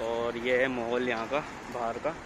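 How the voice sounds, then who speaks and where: A young man talks to his vlog audience in casual, slangy speech sprinkled with English. His voice sits at an average pitch. It is captured on a handheld phone with plenty of noise around him. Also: flat delivery, normal speed. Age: young adult. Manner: chatty, slangy, mixes in English words. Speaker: vlogger. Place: city pavement, mall and parking area. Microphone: phone at arm's length. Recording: noisy handheld recording, traffic and ambience.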